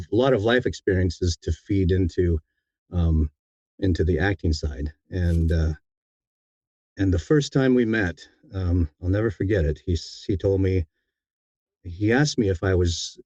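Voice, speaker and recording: Very deep, mellow-voiced man. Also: husky, no echo, highs cut off. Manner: halting, reflective, sincere. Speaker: guest, audiobook narrator. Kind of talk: casual interview conversation.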